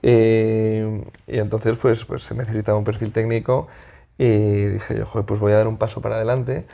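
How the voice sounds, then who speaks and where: A man from Madrid with a Spain accent, answering as a political interview guest in a halting, conversational way, searching for words. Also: fairly low pitch, little pitch movement, gravelly, dark tone, muffled recording.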